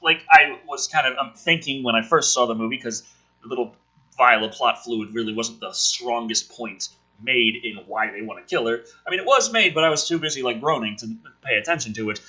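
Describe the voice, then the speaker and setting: A man with a rough voice reading and criticizing a film script, exasperated, sweary and incredulous. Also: medium pitch, fairly quick, bright, forceful tone, rough recording. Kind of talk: script reading with ranting commentary.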